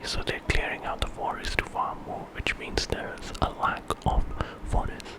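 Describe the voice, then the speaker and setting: A man telling an ASMR story in a hushed, unhurried whisper with pauses. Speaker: ASMR storyteller, channel host.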